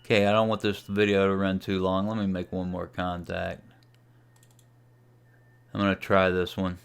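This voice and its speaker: A man's voice: sits low, monotone, normal speed, gravelly, clear, resonant tone. A man giving a casual, unscripted screen-share tutorial as a radio hobbyist, thinking aloud as he operates. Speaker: amateur radio hobbyist demonstrating software.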